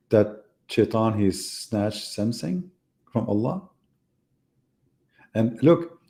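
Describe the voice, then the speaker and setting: Gravelly, mocking man on a live debate. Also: fairly low pitch, mellow. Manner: mocking, sarcastic rhetorical questions. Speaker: debate host. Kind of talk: live online debate monologue.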